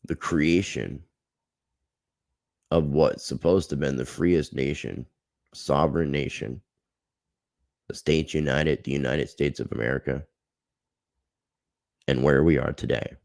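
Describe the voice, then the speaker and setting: A man's voice: very deep voice, fairly even intonation, unhurried, gravelly, pauses often, a little mellow, no echo, studio quality. A man hosting a livestream, chatting casually and earnestly to his viewers. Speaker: livestream host.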